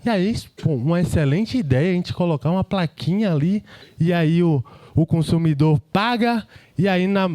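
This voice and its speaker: Higher-voiced Brazilian man presenting informally. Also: animated, normal speed, darkish tone, no room sound. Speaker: engineering team speaker. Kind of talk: informal tech meetup presentation.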